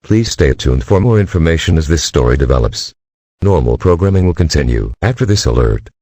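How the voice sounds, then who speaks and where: A man's voice: extremely low pitch, lively intonation, a little fast, mellow, breathy edge, heavily acted. A man voicing an impersonal emergency alert announcer, reading a scripted broadcast in a formal, robotic delivery. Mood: impersonal, official.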